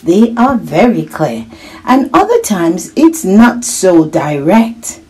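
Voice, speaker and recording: A woman hosting a children's read-aloud, animated and encouraging, speaking directly to her young listeners with little check-in questions. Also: fairly low pitch, slow pace, faint music behind.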